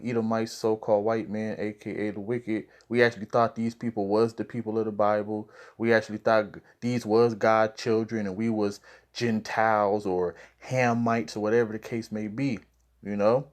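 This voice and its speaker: A man's voice: lowish voice, flat delivery, moderate pace. A man preaching informally to camera, explaining scripture in a conversational way and checking in with "all right?". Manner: conversational, with repeated "all right?" and "you know".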